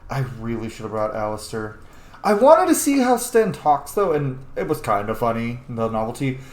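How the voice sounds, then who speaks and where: A man's voice: mid-range voice, big pitch swings, normal speed. A man giving casual, playful commentary on a game and chatting to its characters as he plays. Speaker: gamer narrating a playthrough. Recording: echoey room, background noise.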